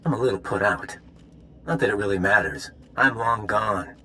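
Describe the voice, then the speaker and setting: A man's voice: lowish voice, normal speed, bright, forceful tone. A man playing a smug rogue saboteur in a video game, taunting in a casual, mocking manner. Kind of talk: scripted video game dialogue.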